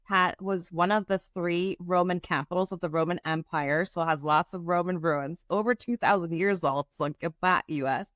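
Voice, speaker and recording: A woman from Germany, a guest on an interview podcast, chatting in a quick, friendly way and explaining at length. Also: fairly low pitch, fairly bright tone, dry recording, studio quality. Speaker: venture capital guest. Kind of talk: casual interview chat.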